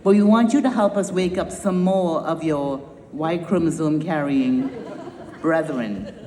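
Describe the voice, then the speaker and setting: A woman's voice: sits low, unhurried, dark tone, a faint crowd. A woman from Trinidad with a Trinidadian accent, founder of a nonprofit giving an emphatic, rousing speech with wry humor. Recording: echoey hall with long reverb.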